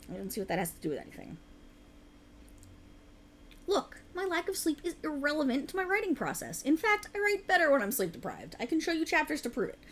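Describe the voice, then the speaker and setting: High-voiced, animated writer girlfriend, acted by a woman. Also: slight background noise. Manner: teasing, dramatic, bantering. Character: sleep-deprived writer girlfriend, playfully arrogant, stubborn, excitable. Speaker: ASMR roleplay performer. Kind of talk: conversational ASMR girlfriend roleplay.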